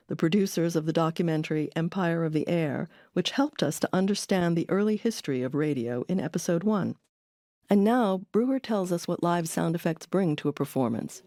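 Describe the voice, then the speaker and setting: A woman's voice: fairly low pitch, mellow, dry recording. A woman hosting an audio documentary, delivering scripted narration. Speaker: documentary host.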